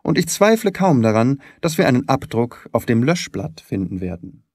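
A man playing a shrewd male detective in an audiobook. He is precise, probing and self-assured, explaining his reasoning courteously. His melody is animated and the sound is dry and close. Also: mid-range voice, moderate pace, no room sound. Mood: analytical, self-assured. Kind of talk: audiobook character dialogue.

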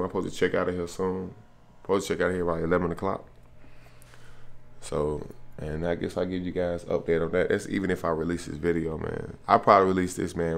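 Low-voiced American man, slangy casual vlogger. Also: vocal fry. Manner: slangy, repeats "man", "bro" and "you know what I'm saying". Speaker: truck driver vlogger. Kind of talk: casual vlog talk to camera. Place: small furnished hotel room, indoors.

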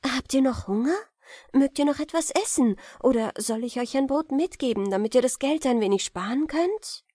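A woman's voice: sits high, animated, moderate pace, warm tone, no room sound, studio quality, pitched above the performer's own voice. A woman voicing a kind, forthright young peasant woman in a fairy-tale audiobook, eager and plain-spoken.

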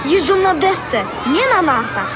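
A little boy in an audio drama, eager and boastful. The voice is extremely high and swoops around, with music behind it. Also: very animated, measured pace, a crowd in the background, exaggerated voice. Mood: excited, boastful. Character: excited, boastful little boy, younger son.

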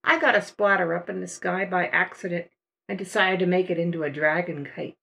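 An older woman narrating a watercolor painting demonstration as a voice-over, explaining each step in a relaxed, unhurried way. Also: lowish voice, vocal fry. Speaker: watercolor art teacher.

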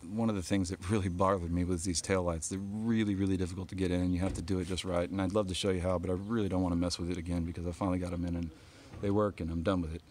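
Man, very low voice, casual rambling explanation, outdoors, phone camera. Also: darkish tone. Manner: rambling, lots of ums and restarts, explains as he goes. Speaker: kayak angler showing his trailer. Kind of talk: casual walkaround show-and-tell. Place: outdoors on a driveway.

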